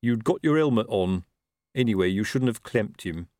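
Man doing a pompous, tetchy police captain, raised pitch. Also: animated, normal speed, a little mellow, no echo, studio quality, close to an everyday voice. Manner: pompous, weary colloquial correcting. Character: self-important, tetchy, slow-witted old-school police captain.

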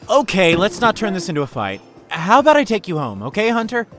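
A man's voice: sits high, huge pitch swings, fairly quick, a bit bright, faint music behind, full character voice. A man playing an easygoing, loyal young peacemaker in an audio drama, hesitant and conciliatory in manner. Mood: anxious.

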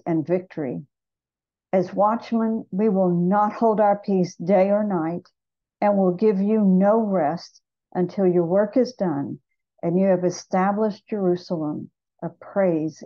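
Woman with a mellow voice leading a solemn prayer. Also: fairly low pitch. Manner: measured, solemn. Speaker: prayer leader. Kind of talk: read-aloud intercessory prayer. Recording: dull lo-fi sound.

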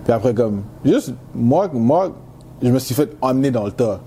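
Mellow young Quebec man, English-speaking, noisy recording. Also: mid-range voice, expressive, moderate pace. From Montreal, Quebec, Canada. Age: young adult. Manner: peppers French with English slang and phrases. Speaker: university football player, interview guest. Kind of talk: casual interview chat.

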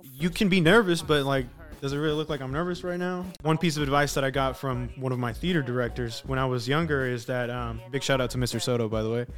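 A man's voice: medium pitch, fast, hardly any pauses. A young man from Sacramento, a rapper, chatting casually and leaning on "you know" all the time. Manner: laid-back, says "you know" constantly. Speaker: rapper and podcast guest. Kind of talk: casual chat. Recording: music playing in the background.

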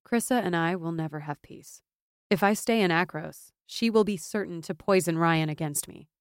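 A woman's voice: lowish voice, moderate pace, clear, resonant tone, dry recording, studio quality, lightly acted. A woman voicing a proud, bitter exiled warrior noblewoman in a fantasy audiobook, firm and wry.

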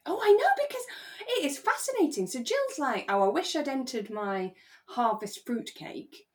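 A woman's voice: sits high, big pitch swings, normal speed. A woman co-hosting a podcast, chatting casually and retelling things expressively, acting out what people said. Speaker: podcast co-host. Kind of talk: casual chat.

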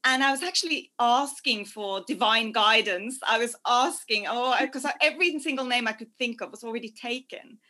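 Bright-voiced woman guest. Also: fairly high pitch, normal speed, few pauses. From Norway. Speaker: podcast guest. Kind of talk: casual interview chat.

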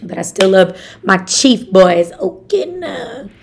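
A young woman from Arkansas with a raspy voice, hosting a casual motivational podcast in an upbeat, chatty way and talking straight to her listeners. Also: mid-range voice, big pitch swings, takes it slowly, a little hiss or hum. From Arkansas, USA. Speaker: podcast host.

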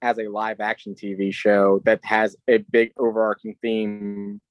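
A man's voice: on the low side, monotone, unhurried, dry recording, muffled recording. A man co-hosting a podcast, chatting casually and giving his opinions. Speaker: podcast co-host.

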